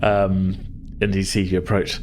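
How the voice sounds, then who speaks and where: A man with a low voice works as game master, improvising descriptions for his players. He phrases things hesitantly and circles toward the right word, with faint noise in the background. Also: completely flat delivery, unhurried, darkish tone. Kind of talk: improvised narration of a tabletop game.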